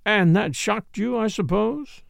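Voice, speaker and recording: A man voicing a languid, blunt young society woman who speaks in a slow drawl, in an audiobook. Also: high voice, gravelly, a bit bright, no room sound, studio quality, voice pushed up, heavily acted. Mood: probing.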